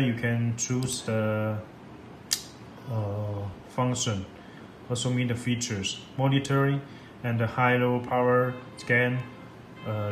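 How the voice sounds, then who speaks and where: Halting man in an echoey room. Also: mid-range voice. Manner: halting, repetitive non-native English phrasing. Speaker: product demonstrator. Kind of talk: step-by-step software tutorial. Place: indoors at a laptop on a desk. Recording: echoey room with clear background noise.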